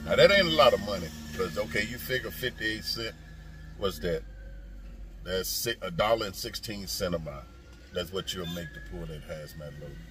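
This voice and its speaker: A man's voice: deep voice, expressive, normal speed, a bit bright. A man who drives trucks, talking casually to viewers and giving his opinion in a folksy, emphatic, repetitive way, with noisy ambience behind him.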